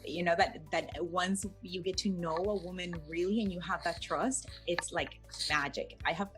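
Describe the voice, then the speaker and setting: Playful woman coach, lowish voice, fillers. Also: steady tone, moderate pace, a bit bright, soft background music. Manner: playful, many fillers and restarts. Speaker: fitness coach, guest. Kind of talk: casual interview answers.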